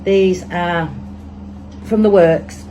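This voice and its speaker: A woman's voice: on the low side, fairly even intonation, very slow, dark tone, lo-fi sound, faint music behind. A woman with a Manchester accent, chatting and thinking aloud as she demonstrates a resin craft project at her table. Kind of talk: casual hands-on tutorial.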